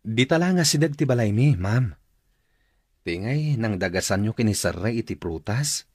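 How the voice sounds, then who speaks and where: Low, husky, shy Ilocano young man. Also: expressive, normal speed, a little mellow, no echo, studio quality. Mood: shy, hesitant. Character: shy, smitten young fruit seller, a respectful son. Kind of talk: Ilocano radio drama acting.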